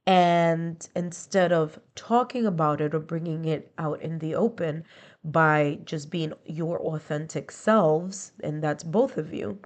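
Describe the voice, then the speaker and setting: A woman's voice: low voice, slow. A woman with a congested, stuffy nasal voice gives a conversational tarot and astrology reading, explaining each point with strings of near-synonyms. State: stuffy, congested from being under the weather. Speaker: tarot reader.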